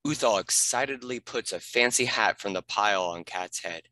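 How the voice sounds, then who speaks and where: A man's voice: fairly low pitch, moderate pace, sharp tone, no echo. A man reading scripted stage directions as a narrator.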